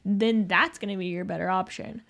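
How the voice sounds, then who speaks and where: A woman's voice: mid-range voice, steady tone, normal speed, creaky, a bit bright. A young adult woman co-hosting a podcast, agreeable and chatty, often laughing along. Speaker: podcast co-host. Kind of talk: casual chat.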